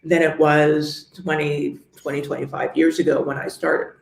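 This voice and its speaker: A middle-aged woman from New York, a real estate agent, speaking as a guest in an interview with a measured, understated manner. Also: deep voice, flat delivery, gravelly, reverberant, rough recording. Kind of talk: interview conversation.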